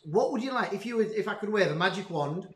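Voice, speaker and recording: A man hosting a casual interview, enthusiastic and informal, with halting phrasing. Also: high-pitched, animated, roomy sound, crowd noise. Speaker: interviewer, school host.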